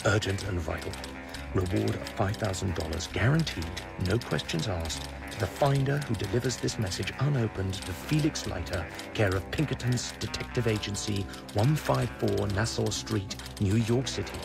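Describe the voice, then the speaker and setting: An actor playing a wry secret agent in a radio play, speaking in a clipped, musing way. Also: male voice, on the low side, moderate pace, vocal fry. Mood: urgent. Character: wry, tense British secret agent, adult man. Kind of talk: radio drama acting. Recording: radio play with loud music underneath.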